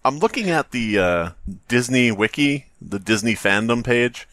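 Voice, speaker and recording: A man's voice: mid-range voice, animated, unhurried, fairly bright tone, dry recording, studio quality. A man co-hosting a comedy podcast, bantering casually with mock-earnest jokes and interjections.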